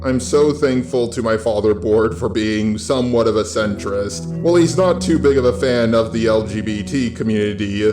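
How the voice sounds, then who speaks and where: A man's voice: lowish voice, moderate pace, few pauses. An American man from California delivering a scripted opinion monologue in a blunt, sweary, sardonic way as a YouTube host. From California, United States. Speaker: YouTube host with a robot avatar. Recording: roomy echo, faint music bed.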